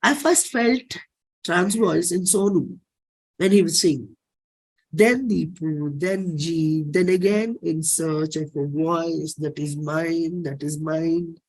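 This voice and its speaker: A performer with an Indian accent giving a dramatic reading of a personal play script, deliberate, with pauses and repeated phrases. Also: mid-range voice, darkish tone, rough recording. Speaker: performer and singer.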